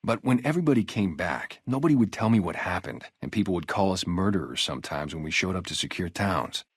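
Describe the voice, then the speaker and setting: Low-voiced, streetwise wasteland sniper guard. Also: male voice, very animated, fast talker, breathy edge, no room sound, clean studio sound, full character voice. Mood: bitter, reflective. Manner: casual, streetwise, conversational. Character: gruff ex-gang sniper guarding a wasteland town, loyal, guarded. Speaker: security guard and former soldier. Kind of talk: video game character dialogue, naturalistic.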